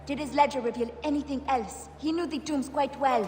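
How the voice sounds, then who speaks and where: A woman playing a calm, reassuring priestess. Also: high-pitched, a little fast, natural voice. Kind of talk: video game voice acting. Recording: echoey hall with music.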